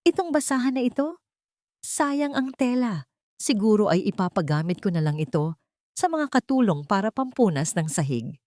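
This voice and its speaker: A woman's voice: medium pitch, lively intonation, moderate pace, warm tone, dry recording, studio quality, pitched above the performer's own voice, natural voice. Woman acting a spiteful, sweetly venomous mistress. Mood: scornful. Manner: syrupy sweet, sneering, condescending.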